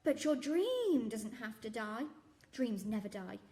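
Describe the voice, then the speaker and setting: A big kindly storybook shopkeeper that a woman acts out, warm, sentimental, high and bubbly. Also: big pitch swings, measured pace. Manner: warm, fussing, softly scolding.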